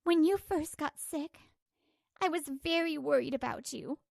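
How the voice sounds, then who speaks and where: Give me a woman playing a fed-up wife in a manga dub, high-pitched, firm and cutting, recorded dry. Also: a little slow, creaky, a little mellow, no echo. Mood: cold, firm. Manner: cutting, resolute.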